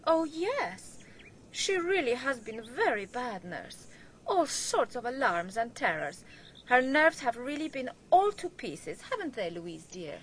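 An actress playing a gossipy, spiteful archaeologist's wife in a radio drama, insinuating and emphatic. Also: female voice, on the high side, sing-song, measured pace, slight background noise. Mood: falsely sweet.

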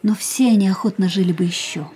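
An actress playing a pensive female sanatorium patient in a radio play, narrating with measured, deliberate literary phrasing. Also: medium pitch, dark tone, slightly rough. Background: piano music.

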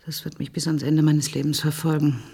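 Grieving scientist mother, radio drama: lowish, very husky, halting, emotional, pleading. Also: level tone, mellow. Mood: remorseful. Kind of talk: German radio play acting.

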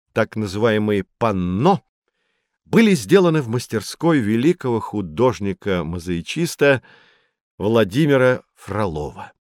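A man playing a patient, earnest guide who explains things to children with deliberate emphasis in a scripted educational audio drama. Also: medium pitch, no echo, clean studio sound, natural voice.

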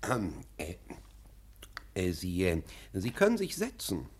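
An actor in a German radio play playing a pompous, irritable police assessor who is officious and stammers when flustered. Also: male voice, deep voice, huge pitch swings, takes it slowly, slight background noise, full character voice. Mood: flustered, curious.

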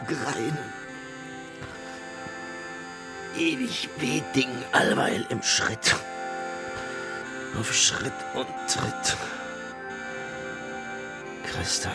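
An actor in a German radio drama playing a sadistic, lecherous prison camp overseer who sneers, taunts, barks orders and wheedles. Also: male voice, medium pitch, heavily acted. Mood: pained, whining.

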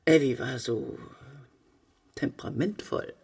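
A middle-aged widowed mother in a radio drama, brittle and wistful. Her husky voice has a genteel, complaining edge. She sounds a little distant from the microphone. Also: a bass voice, animated, slow pace, darkish tone, full character voice. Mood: irritated. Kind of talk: German radio drama acting.

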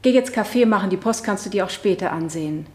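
A woman acts a sincere wife testifying in a radio courtroom drama. She recounts things simply at a medium pitch, with a roomy echo on her voice. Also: quick pace, lightly acted. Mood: earnest recounting. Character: earnest wife of the accused on the witness stand. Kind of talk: 1970 German radio drama acting.

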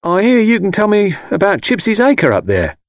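A man's voice: high-pitched, wildly swooping pitch, normal speed, no echo, clean studio sound, pitched above the performer's own voice, exaggerated voice. A man playing a curious, sceptical young man in an audiobook, politely questioning and coaxing. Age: young adult. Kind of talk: dramatised audiobook dialogue.

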